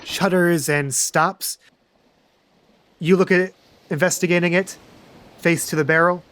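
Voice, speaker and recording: A man running a tabletop game as game master, narrating scenes in a dry, deadpan way. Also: sits high, measured pace, a little hiss or hum.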